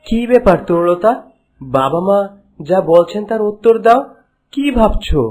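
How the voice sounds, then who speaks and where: A man's voice: high-pitched, lively intonation, moderate pace, a little mellow. A man playing a stern young prince in a fairy-tale cartoon, speaking in a reproachful way. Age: young adult. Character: stern young prince of twenty-eight, devoted to his mother.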